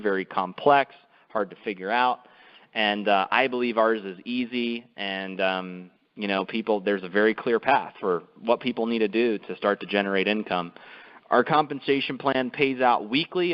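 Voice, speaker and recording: Male company presenter, mid-pitched, conversational and persuasive sales pitch, dull conference-call sound with long reverb. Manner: persuasive, conversational, frequent "you know".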